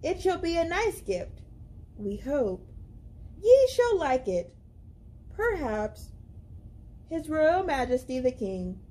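Woman reading as exasperated, high-voiced storybook villagers. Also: big pitch swings, slow pace, pauses often, warm tone, full character voice. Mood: exasperated, doubtful. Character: exasperated, doubtful storybook villagers making a mock-royal proclamation.

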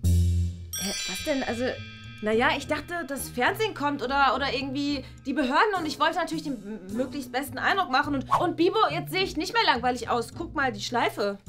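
A woman's voice: sits high, animated, brisk, fairly bright tone, faint music behind. Woman doing an eager prim schoolgirl.

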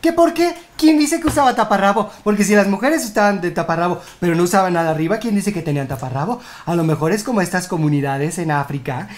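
A man's voice: sits high, natural voice. A man playing an opinionated middle-aged Mexican mom with a Mexican Spanish accent, chatting in character on a studio set. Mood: ranting. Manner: quick, argumentative rants with rising exclamations. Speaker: co-host. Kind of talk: improvised comedy chat in character. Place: studio set with beach backdrop.

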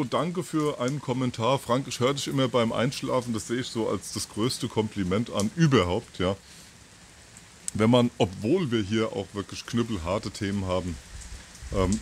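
A man in his fifties giving an informal, halting monologue to camera, thinking aloud with pauses and repeats. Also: medium pitch. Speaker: video channel host. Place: outdoors on a terrace or balcony by trees. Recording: outdoor ambient noise.

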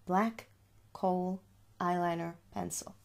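A young woman speaking softly in an ASMR shop roleplay, coaxing the listener with little questions in a husky voice. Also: on the low side, fairly even intonation, takes it slowly. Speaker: ASMR performer playing a makeup shop seller. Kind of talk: soft-spoken ASMR roleplay. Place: small room at home.

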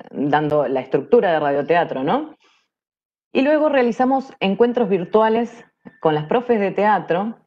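A woman in her forties with an Argentine Spanish accent, presenting online in a halting way with pauses between phrases. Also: deep voice, darkish tone. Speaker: workshop presenter. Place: indoor room at home. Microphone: wired earphones on video call. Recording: slightly dull video-call audio.

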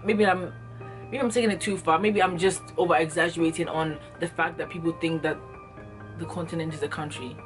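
A woman's voice: lowish voice, fairly even intonation, a little fast, faint music behind. Earnest young woman vlogger. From Ghana. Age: young adult. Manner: earnest, emphatic, repeats herself for stress. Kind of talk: passionate direct-to-camera monologue. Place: small indoor room, plain wall. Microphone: camera in front at close range.

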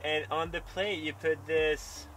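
A young pro water skier answers questions in a bright, forward voice, stopping and restarting as he hunts for words. He is recorded outdoors on a lakeside dock, with faint ambient sound around him. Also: a little high, fairly even intonation, a little hiss or hum. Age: young adult. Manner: halting, searching for words in a second language. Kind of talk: casual interview.

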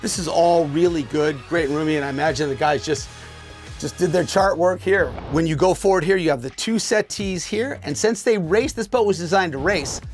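A man's voice: on the high side, moderate pace, soft background music. A middle-aged man giving a casual, enthusiastic walkthrough tour of a sailboat in a marina. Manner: enthusiastic, talks while pointing and moving. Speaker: boat tour host. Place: aboard a sailboat in a marina, on deck and below.

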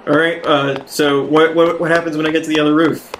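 A man's voice: fairly high pitch, level tone, fairly quick, fairly bright tone. A man playing a tabletop game, casually improvising in character. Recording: echoey room with some background noise.

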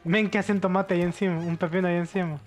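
High-voiced young Salvadoran man gaming. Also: level tone, rapid-fire. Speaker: gamer. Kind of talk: casual gameplay banter. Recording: game music behind voice. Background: video game music.

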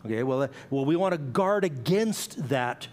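A man's voice: medium pitch, animated, moderate pace, darkish tone. A middle-aged man preaching an expository sermon in a church, explaining a passage in a conversational way with asides and small restarts. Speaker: pastor. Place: church sanctuary.

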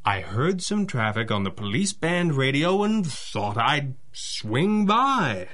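An actor playing a dry, mysterious masked crimefighter boss, speaking in measured deadpan in a 1930s-style radio adventure. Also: male voice, mid-range voice. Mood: dry, businesslike.

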